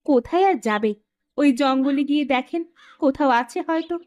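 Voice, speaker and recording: Woman acting a high-pitched, scornful village woman. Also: normal speed, darkish tone, highs cut off, natural voice. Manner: scolding, sarcastic. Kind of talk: Bengali comic cartoon acting.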